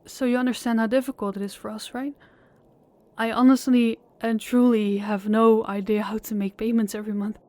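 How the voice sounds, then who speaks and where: A young woman playing a worn-out, loving girlfriend who talks softly and hesitantly, often stumbling over her words. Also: fairly high pitch, level tone, normal speed, lightly acted. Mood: pleading. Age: young adult. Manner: soft, hesitant, stammering restarts. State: exhausted. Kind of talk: audio roleplay acting.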